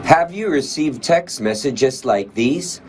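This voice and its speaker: A man's voice: mid-range voice, lively intonation, slow pace, loud music behind the voice. A man with a Filipino accent narrating a scripted news report as a reporter.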